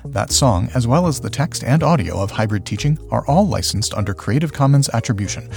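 A man's voice: medium pitch, expressive, a little fast, gravelly, a little mellow. A man working as a podcast host reads a scripted outro in the role of producer. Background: theme music.

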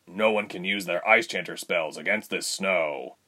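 Casting: weary, steady family man, low and grave a male narrator plays him, storybook. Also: normal speed, exaggerated voice.

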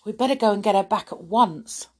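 A woman reading aloud voices a concerned young boy, raising her pitch and speeding up. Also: fairly quick, a little mellow, natural voice. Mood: alarmed.

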